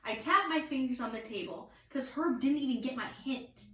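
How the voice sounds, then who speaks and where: A woman with a fairly high voice reads a children's story aloud as a teacher would. Her delivery is expressive. She sounds a little away from the mic in an echoey room. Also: moderate pace. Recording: roomy echo, distant mic.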